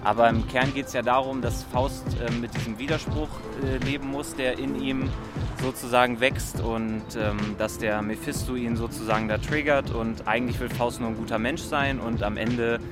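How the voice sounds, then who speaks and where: A man giving a loose, colloquial, off-the-cuff explanation as a passer-by in an interview. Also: mid-range voice. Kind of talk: casual spontaneous explanation.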